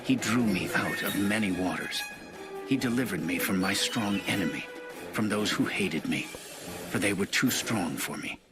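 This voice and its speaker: A man reading scripture as a narrator, in a solemn and measured manner. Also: lowish voice, expressive. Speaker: Bible narrator. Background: music.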